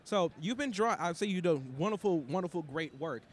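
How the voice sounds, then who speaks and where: A man's voice: sits high, expressive, a little fast. A man interviewing a guest in a casual, conversational style. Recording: noisy location with ambient background.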